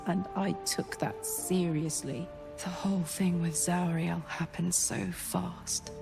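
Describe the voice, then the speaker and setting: A tough female tiefling fighter in a cinematic game scene. She talks slowly in a lowish voice, blunt and informal, with hurt under the words. Music plays clearly behind her. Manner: informal, blunt, emotive storytelling. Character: brawny female tiefling ex-bodyguard, rough, wounded, bitter. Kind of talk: cinematic video game acting.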